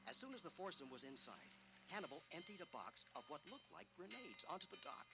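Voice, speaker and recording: A man's voice: on the high side, sing-song, brisk, gravelly. A man giving dramatic scripted narration in the style of a 1980s children's adventure record. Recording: loud music under narration.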